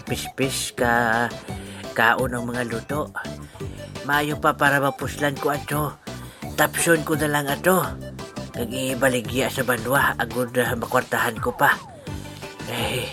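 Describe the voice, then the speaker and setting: Someone acting a rambling farmer under loud music. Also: medium pitch, steady tone, lightly acted. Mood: leisurely planning. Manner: hesitant muttering to himself, drawn-out fillers. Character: unhurried, rambling male banana farmer, contentedly practical. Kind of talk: comedic audio drama acting.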